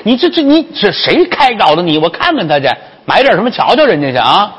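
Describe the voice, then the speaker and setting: A man's voice: high-pitched, big pitch swings, fairly quick, a bit bright, slightly rough, long reverb tail, voice pushed up, heavily acted. A man voicing a fawning, scheming old brothel madam who repeats herself eagerly in comic storytelling. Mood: overjoyed. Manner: effusive, repeats phrases eagerly.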